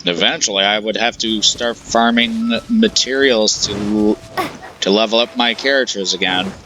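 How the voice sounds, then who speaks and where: A man's voice: lowish voice, steady tone, measured pace, bright, forceful tone, slight background noise, poor audio. A man giving casual commentary as he streams himself playing a video game. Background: game music.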